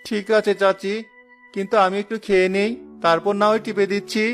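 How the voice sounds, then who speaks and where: A man's voice: high-pitched, little pitch movement, brisk, soft background music. A man voicing a meek, overworked orphan village boy in a cartoon, speaking in a plaintive, obedient way. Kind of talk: Bengali cartoon voice acting.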